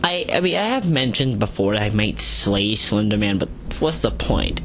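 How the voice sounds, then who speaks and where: Man rambling over clear background noise. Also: lowish voice, sing-song, normal speed, a bit bright. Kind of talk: rambling audio log reading out comments with asides. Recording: clearly noisy home recording.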